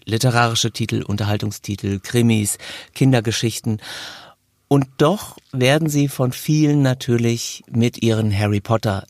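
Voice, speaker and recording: A man's voice: medium pitch, gravelly, darkish tone, no echo, studio quality. A man hosting an audiobook podcast, presenting and interviewing guests. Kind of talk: scripted introduction and interview.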